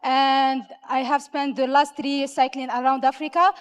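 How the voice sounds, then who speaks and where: High-voiced woman from Morocco, echoing hall. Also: level tone, moderate pace. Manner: proud, spirited. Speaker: audience member. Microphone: handheld microphone. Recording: long hall reverb.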